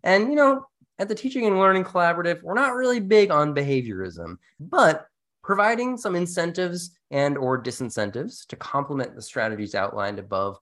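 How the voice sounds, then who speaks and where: A man's voice: on the high side, animated, brisk, a bit bright. Conversational man co-hosting an educational podcast. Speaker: podcast co-host, instructional designer.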